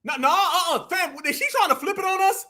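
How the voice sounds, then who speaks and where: A man's voice: very high-pitched, a little fast, sharp tone. An American man hosting a live stream, reacting to a clip with combative, mocking commentary in slangy speech addressed to his viewers. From United States. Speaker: livestream commentator.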